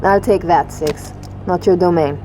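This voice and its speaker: An actress playing a brisk, confident female military tech specialist in a video game, clipped and matter-of-fact. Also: lowish voice, over music, lightly acted, poor audio. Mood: curt. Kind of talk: video game cinematic acting.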